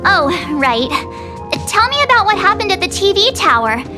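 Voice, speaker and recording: A woman voicing a loyal, chatty teenage girl adventurer in a video game, expressive and melodramatic. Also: very high-pitched, moderate pace, over music. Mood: curious.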